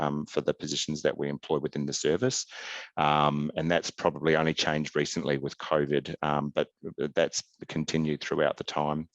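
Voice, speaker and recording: Very deep-voiced man, hesitant. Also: no echo, dull audio. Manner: halting, many ums and restarts. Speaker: health service presenter. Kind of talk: webinar slide presentation. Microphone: video call webcam.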